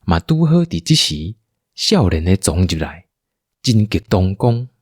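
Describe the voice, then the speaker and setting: A husky male storyteller with a Taiwanese accent narrates a radio drama. His delivery is highly expressive and the recording is dry. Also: a little slow, no echo.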